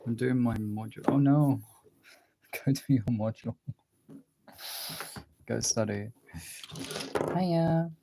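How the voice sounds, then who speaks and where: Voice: medium pitch, takes it slowly, long pauses. A young adult livestream host chatting casually and informally while drawing, often muttering to themselves between replies to viewers. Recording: clear background noise, clicks.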